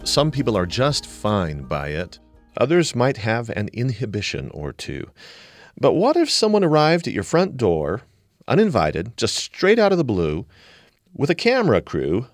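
Man, radio host, lowish voice, lively intonation, dry studio sound, faint music. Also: dry recording. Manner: animated, questioning delivery. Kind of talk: scripted radio introduction and interview.

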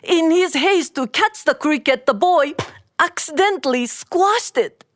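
A woman telling a folktale live, in an expressive, deliberate and dramatic manner. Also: very high voice, dry recording, clean studio sound. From Japan. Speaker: storyteller. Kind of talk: live oral storytelling of a folktale.

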